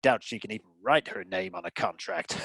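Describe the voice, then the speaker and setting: An actor playing a boastful, wealthy tycoon in a comedy radio play, folksy and full of crude jokes. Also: male voice, fairly low pitch, big pitch swings, normal speed, vocal fry, a bit bright, slightly rough, no room sound, heavily acted. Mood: boastful, jokey. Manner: boastful, folksy, innuendo-laden.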